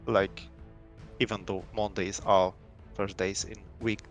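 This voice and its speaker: A man's voice: lowish voice, little pitch movement, takes it slowly, soft background music. A young man from Poland with a Polish accent, English not his first language, showing his indie game on a live stream and talking in a loose, rambling way with many restarts. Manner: rambling, lots of fillers and restarts.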